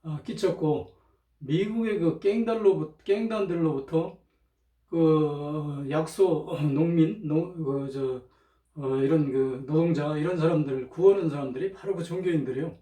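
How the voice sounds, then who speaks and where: A man talking in an informal, rambling monologue, hesitant, often clearing his throat, with a slightly strained throat, on a cheap microphone. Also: fairly high pitch, measured pace, dark tone. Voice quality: strained throat from chronic irritation. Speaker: lecturer, channel host. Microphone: cheap, low-volume microphone. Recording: roomy, distant cheap microphone.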